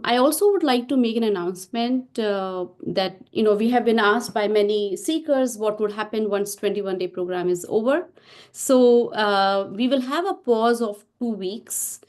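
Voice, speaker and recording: Woman hosting an online meditation class. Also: mid-range voice. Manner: warm-paced, many fillers and restarts. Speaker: host of online meditation session. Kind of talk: welcoming host talk. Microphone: video call.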